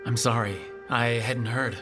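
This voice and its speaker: A man plays a young male rebel hero from a fantasy game, flippant yet earnest. His mid-pitched, conversational voice sits over soft background music. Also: fairly even intonation, measured pace, close to an everyday voice. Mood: surprised.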